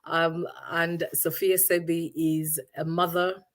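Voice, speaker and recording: Interview guest: low-pitched woman from Uganda, middle-aged, reflective and word-searching. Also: level tone, slow. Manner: thoughtful, searching for words with many restarts.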